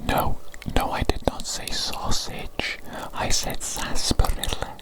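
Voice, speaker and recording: A woman whispering an ASMR medical roleplay as a fussy doctor, softly repeating her phrases in a breathy, unvoiced voice. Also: slow. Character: fussy evil doctor examining a creature. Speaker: ASMR performer playing a doctor.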